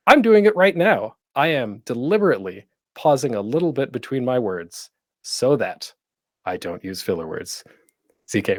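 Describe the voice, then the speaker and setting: A man with a very lively, swinging delivery and an average-pitched voice gives a casual online how-to talk. He is chatty and playful, pausing deliberately to stress things. The sound is dry and close on the microphone. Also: dry recording. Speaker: panel host and podcasting instructor.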